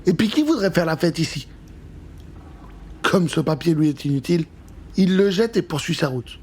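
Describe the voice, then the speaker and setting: A man reads a children's tale as its narrator. His voice sits fairly high for a man and has a husky edge, with dramatic, suspenseful storytelling. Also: normal speed. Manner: expressive, suspenseful.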